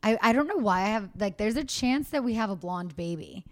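A woman's voice: mid-range voice, animated, a little fast, no room sound. A pregnant woman hosting a podcast, chatting candidly as she answers fan questions. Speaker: podcast host. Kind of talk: casual chat.

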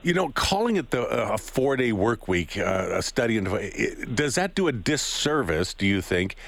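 A man's voice: mid-range voice, very animated, moderate pace, creaky, a bit bright. A man interviewing a guest, asking long, rambling questions with hesitant fillers and restarts. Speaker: news interviewer.

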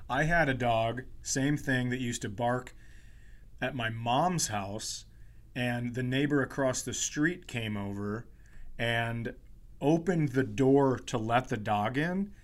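A man's voice: mid-range voice, takes it slowly. A man co-hosting a comedy podcast, telling an anecdote in a casual, offhand, matter-of-fact way.

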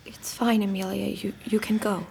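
A woman playing a gentle, loyal, bewildered noble wife, pleading in a theatrical Shakespeare audio drama. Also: medium pitch, level tone, gravelly, slight background noise, lightly acted. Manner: pleading, measured.

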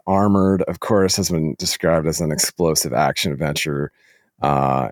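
A man hosting a casual interview, speaking in a halting, appreciative way to his guest. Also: rumbling low, no room sound, clean studio sound. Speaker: podcast host.

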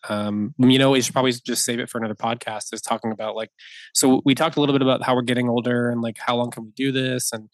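A man's voice: medium pitch, level tone, fast talker, no echo. A man in his thirties talks as a podcast guest about his wedding photography work. He rambles, restarts sentences and laughs often. His mid-range voice sounds dry and close, with no room around it. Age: 30s. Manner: rambling, lots of fillers and restarts, laughs easily. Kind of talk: casual podcast conversation.

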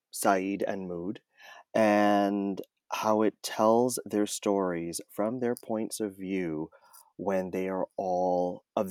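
A man's voice: low-pitched, a little mellow, dry recording. A man speaking as an interview guest, an audiobook narrator talking conversationally and enthusiastically, stretching words for emphasis.

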